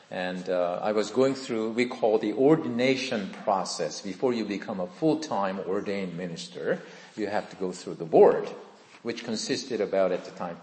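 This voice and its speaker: Pastor preaching in an echoey hall. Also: male voice, fairly low pitch, a little mellow. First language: Korean. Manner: earnest, conversational, asks the congregation questions. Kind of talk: sermon with personal testimony.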